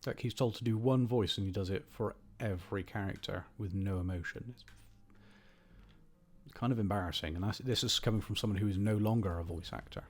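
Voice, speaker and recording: A slightly hoarse man giving rambling, wry, opinionated commentary while playing a game. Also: fairly low pitch, brisk. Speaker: gaming host. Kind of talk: casual gameplay commentary.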